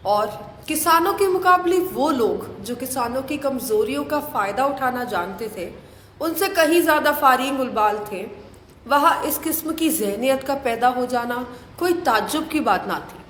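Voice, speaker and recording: A woman's voice: high-pitched, lively intonation, normal speed, fairly bright tone. A woman reading scripted literary narration for an audio play. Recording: echoey hall, faint noise.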